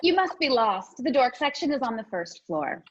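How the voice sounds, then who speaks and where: A woman's voice: high voice, big pitch swings, normal speed, muffled recording, slight background noise. A sneering mean teen girl. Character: snobby mean teen girl, queen bee.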